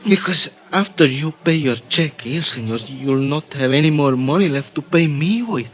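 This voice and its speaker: An actor playing an eager, money-minded Mexican bellboy with a Spanish accent, polite and halting, in 1950s radio style. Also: male voice, on the high side, a little hiss or hum, close to an everyday voice. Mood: sly, hinting. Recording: dull vintage radio recording.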